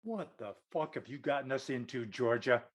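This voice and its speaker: A sour, self-deprecating male screenwriter, acted at a table read. He grumbles bluntly at a middling pitch in an everyday comedy delivery. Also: expressive, moderate pace, close to an everyday voice. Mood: aggrieved.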